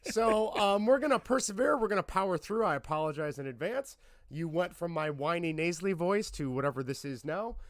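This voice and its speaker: A podcast host in his thirties with a fairly high voice, roughened and hoarse from laryngitis. He talks in a chatty, rambling, self-deprecating way. The sound is dry and close. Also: animated, a little fast, no room sound. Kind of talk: casual podcast chat.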